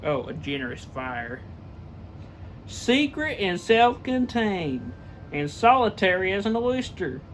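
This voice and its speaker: A man's voice: high-pitched, sing-song, slow, slight background noise. A man reading a classic story aloud theatrically and emphatically, stumbling over the text and dropping in casual asides. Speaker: reader, show host.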